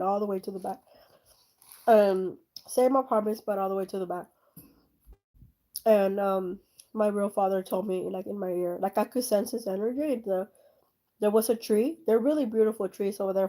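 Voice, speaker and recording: A woman's voice: medium pitch, warm tone, poor audio. A woman with a slight German accent, talking casually and rambling with many fillers and restarts as she paints on her own channel. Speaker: art channel host.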